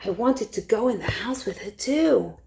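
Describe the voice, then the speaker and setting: A woman storytime teacher reading a rhyming picture book aloud to young children in an expressive way. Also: mid-range voice, normal speed, creaky. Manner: expressive, hushed suspense, asks listeners questions.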